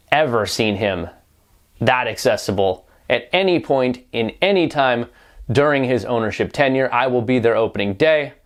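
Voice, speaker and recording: A man delivering opinionated sports news commentary as a reporter, wry and pointed, often posing rhetorical questions. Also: medium pitch.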